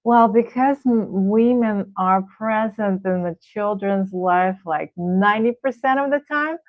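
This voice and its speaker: A woman's voice: mid-range voice, no echo. Russian-accented woman on a tinny line. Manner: thoughtful, halting with many fillers. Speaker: guest coach. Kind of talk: casual interview answers.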